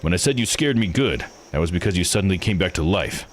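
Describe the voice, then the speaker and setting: A low-voiced, plain-spoken man from a visual novel, read out by a male player. He is gruff and probing, speaking bluntly over faint music. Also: animated, quick pace. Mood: stern, accusing.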